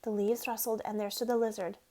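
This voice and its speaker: A woman reading a children's storybook aloud as an expressive narrator. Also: mid-range voice, a little fast. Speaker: storybook reader.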